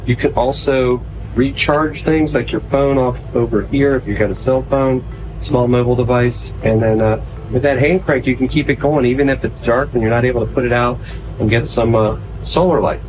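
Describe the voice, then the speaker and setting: A man's voice: mid-range voice, normal speed, a little mellow, a little hiss or hum, rough recording. A man giving a casual, conversational product demonstration as a video reviewer.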